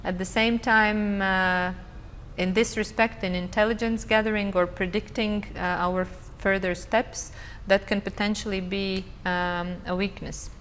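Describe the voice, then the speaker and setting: A woman speaks on a conference panel in a considered, hesitant way, pausing often with "uh" as she builds her points. Her voice carries through a large hall with a long reverb tail. A faint hum of noise sits underneath. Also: mid-range voice, fairly even intonation, fairly bright tone. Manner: thoughtful, hesitant with frequent fillers. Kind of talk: panel discussion.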